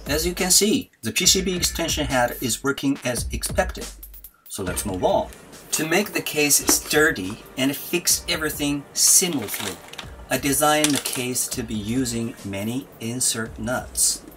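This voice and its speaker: A man's voice: medium pitch, expressive, unhurried. A man giving scripted tutorial narration as a maker presenting an electronics build. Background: background music.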